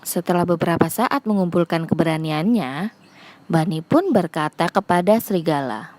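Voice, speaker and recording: A woman's voice: fairly low pitch, animated, normal speed, darkish tone. A woman narrating a scripted children's fable as a storyteller.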